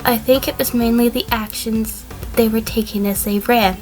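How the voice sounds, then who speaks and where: A woman's voice: fairly high pitch, fairly even intonation, normal speed, a little mellow, soft background music, lightly acted. A woman playing an evasive, defensive sheep on the witness stand in a fan courtroom audio drama, explaining carefully.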